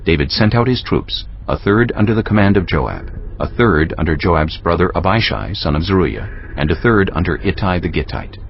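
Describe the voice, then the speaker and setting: A man narrating scripture as a dramatic audio production, in a solemn, deliberate storytelling manner. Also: low-pitched, lively intonation, highs cut off, slight background noise. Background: music.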